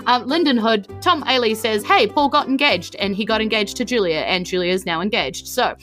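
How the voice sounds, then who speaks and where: A woman's voice: fairly high pitch, moderate pace, fairly bright tone, faint music behind. A woman chatting informally and giggly as a podcast host. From New Zealand. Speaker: podcast host and game master. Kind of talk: casual podcast chat.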